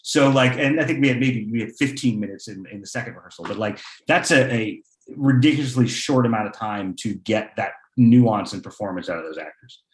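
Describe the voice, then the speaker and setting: A man speaking casually as a panelist, telling things in an informal, animated way in a roomy recording. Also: on the low side, a little fast. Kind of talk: casual panel discussion remarks. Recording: echoey, roomy sound.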